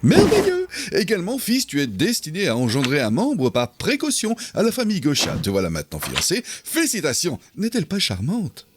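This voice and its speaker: A man's voice: a little high, wildly swooping pitch, brisk, hardly any pauses, very noisy. A man voicing a haughty, flippant demon king and negligent aristocrat father in a cartoon fan dub, pompous and theatrical. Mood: grandiose. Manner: pompous, theatrical, breezily dismissive. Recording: loud music behind the voice.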